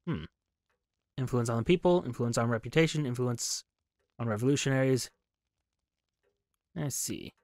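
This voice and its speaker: A man hosts a gaming video in a casual, thoughtful way, musing aloud with some hesitation. His voice sits at a middle pitch and is recorded very dry, with no room sound. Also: moderate pace, pauses often, a little mellow, no room sound. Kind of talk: casual game commentary mixed with reading dialogue aloud.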